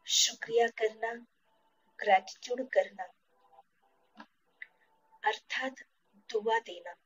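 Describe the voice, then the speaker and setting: A woman from India guiding a meditation, in short, measured phrases with pauses between them. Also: a little high, fairly bright tone, husky, rough recording. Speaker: guided meditation teacher. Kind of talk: slow guided meditation. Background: background music.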